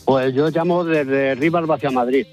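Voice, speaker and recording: A man's voice: mid-range voice, normal speed, a little mellow, soft background music. A man from La Mancha, calling a radio phone-in and explaining something casually, in a polite, hesitant way. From La Mancha, Spain.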